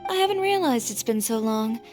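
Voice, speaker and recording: A woman's voice: fairly high pitch, lively intonation, a little fast, a little mellow, big-room sound, soft background music, close to an everyday voice. Woman acting a breezy, outspoken young woman. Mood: frank, breezy. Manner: frank, breezy, take-charge. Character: confident, outspoken young female friend, breezy and brisk. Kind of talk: video game character acting.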